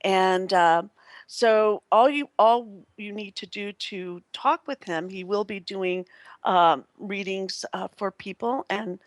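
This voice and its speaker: A woman hosts a radio show in a chatty, effusive way. Her voice sits at a medium pitch for a woman and is recorded close and dry. She talks casually and off the cuff, meandering as she goes. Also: takes it slowly, no room sound. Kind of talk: casual unscripted radio talk. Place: live internet radio broadcast.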